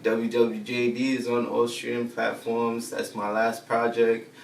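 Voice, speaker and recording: A laid-back young man from Jamaica talks through his start in music in a low-key, gravelly voice that barely rises or falls. His slang-heavy chat comes from a mic set back in a roomy studio, with faint hiss underneath. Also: monotone, unhurried, far from the mic. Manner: laid-back, peppers talk with "feel me" and slang. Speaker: rapper guest. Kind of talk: casual interview chat. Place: studio set with brick backdrop.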